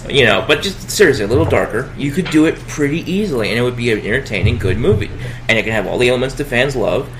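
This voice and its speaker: A man's voice: medium pitch, lively intonation, quick pace. A young man chatting casually as a co-host, rambling and opinionated as he explains his takes. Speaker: podcast co-host. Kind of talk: casual chat. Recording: noisy home recording.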